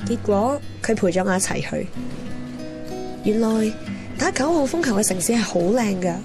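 A woman's voice: medium pitch, expressive, normal speed, close to an everyday voice. An actress playing an impulsive, dreamy young woman in a Cantonese radio drama, with a Hong Kong accent. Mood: dreamy, exhilarated. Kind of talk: radio drama narration and dialogue. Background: music.

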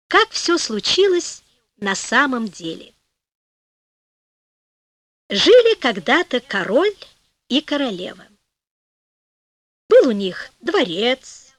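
A woman narrating a fairy tale audiobook with expressive, deliberate phrasing. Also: a little high, dry recording.

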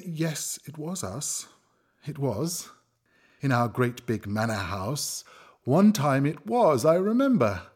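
Radio drama monologue, halting man with a husky voice and lively intonation, searching and revising as he goes. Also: mid-range voice. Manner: halting, searching memory, self-correcting. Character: hesitant man recalling experiments on children.

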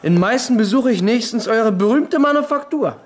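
An actor in a German radio play voicing a vain, affected royal aesthete with precious manners. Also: male voice, extremely high pitch, expressive, moderate pace. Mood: flattering.

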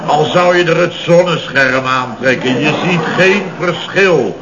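Blustering fifty-year-old boss, dull old recording. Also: male voice, on the high side, unhurried, noisy background. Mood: exasperated. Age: 50s. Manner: exclamatory, repetitive, stammering when flustered. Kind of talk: 1970s radio comedy acting.

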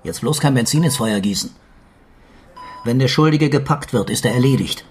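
A man's voice: mid-range voice, animated, moderate pace, a little hiss or hum, lightly acted. An actor playing a stern middle-aged police detective and father in a German radio drama, interrogating and sarcastic.

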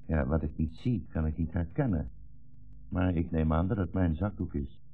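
An actor playing a weary, resigned old blind rabbi in a 1960s radio drama, measured and formally polite, with a meek irony. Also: male voice, low-pitched. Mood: meek, wry. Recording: dull 1960s radio recording, music behind.

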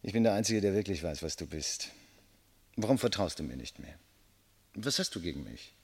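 An actor playing a boisterous, mocking young medical student in a German radio drama, theatrical and teasing, swinging from declaiming to muttering. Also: male voice, low-pitched, lively intonation, fairly quick, a little mellow, breathy edge, exaggerated voice. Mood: mocking, confiding.

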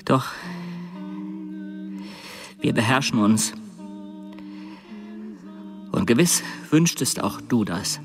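A solemn male voice of middling pitch as an earnest, dutiful pupil in a radio drama. He declaims formally and sternly, in measured phrases, with music clearly behind him. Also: expressive. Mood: solemn, grieving. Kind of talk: literary radio drama acting.

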